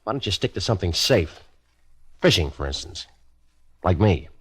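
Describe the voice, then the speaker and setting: Husky, sly radio police inspector. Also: male voice, sits low, big pitch swings, moderate pace, fairly bright tone, exaggerated voice. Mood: veiled menace. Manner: casual, veiled warnings, laconic. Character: sly, cagey male police inspector, quietly threatening. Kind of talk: old-time radio drama acting.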